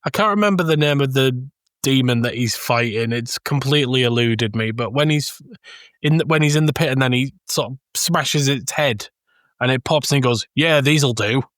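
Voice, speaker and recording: A man co-hosting a casual film discussion, telling anecdotes in an animated way and acting out quotes. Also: medium pitch, normal speed, no echo, studio quality. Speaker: podcast co-host.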